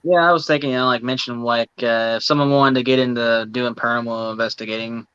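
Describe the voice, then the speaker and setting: A Midwestern American man, a co-host on a live talk show, asking his question haltingly with lots of "you know" fillers. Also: medium pitch, fairly even intonation, a bit bright, clean tone, dry recording, highs cut off.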